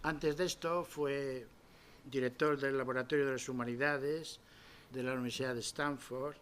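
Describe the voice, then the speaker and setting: A man's voice: mid-range voice, a little hiss or hum. A man giving an admiring formal introduction of a guest speaker at a university event, with a few restarts. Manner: warm, admiring, with small restarts and fillers.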